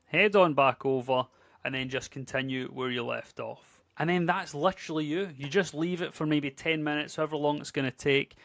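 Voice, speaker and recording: Scottish guy in his 30s, tutorial host, chatty and upbeat, bit of fry, dry close sound. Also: a little high, fairly bright tone, dry recording. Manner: chatty, upbeat, conversational with filler words. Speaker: tech YouTuber. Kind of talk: casual screen-share tutorial.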